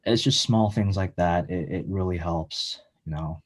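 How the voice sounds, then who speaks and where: College age guy, deep kinda raspy voice, casual interview chat, lots of likes and ums, earnest. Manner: halting, filler-heavy, earnest. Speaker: podcast guest, college student.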